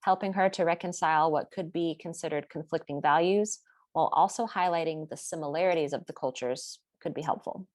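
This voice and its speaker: A woman presenting a class project in a steady, explanatory way, partly read from notes. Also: low-pitched, brisk, dry recording. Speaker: student presenter.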